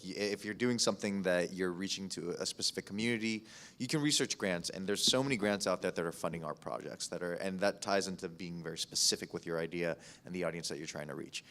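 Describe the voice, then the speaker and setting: A man speaking informally as a podcast producer on a panel, explaining things in a halting, rambling way. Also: low-pitched, fairly bright tone.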